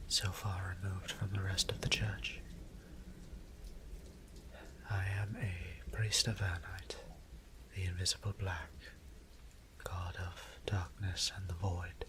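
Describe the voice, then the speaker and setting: A man playing a weary, tender vampire priest in an intimate audio roleplay, whispering breathily with halting, formal speech. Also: low-pitched, level tone, background noise. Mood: solemn, troubled. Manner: hushed, halting, formal wording. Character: weary, tender vampire priest, adult male, troubled exile.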